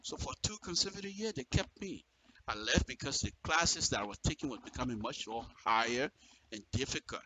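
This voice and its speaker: A man's voice: medium pitch, animated, brisk, sharp tone, a little hiss or hum, poor audio. A man with a Liberian accent giving impassioned political commentary in direct address, emphatic and repeating phrases for effect. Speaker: radio commentator.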